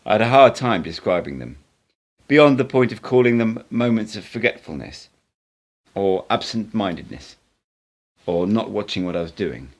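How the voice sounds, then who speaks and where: A man, an amateur reader, narrating an audiobook in an expressive storytelling style. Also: lowish voice, moderate pace.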